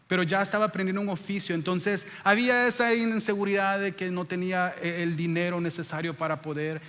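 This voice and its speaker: A man's voice: on the high side, fairly bright tone. A man from El Salvador with a Salvadoran accent preaches a sermon as a pastor, addressing listeners directly with rhetorical questions and repetition. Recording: long reverberant hall sound.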